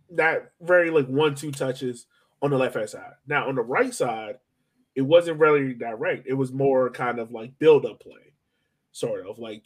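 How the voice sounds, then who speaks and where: Male American podcast co-host, mid-pitched, for relaxed conversational soccer match breakdowns. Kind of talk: casual soccer match analysis chat.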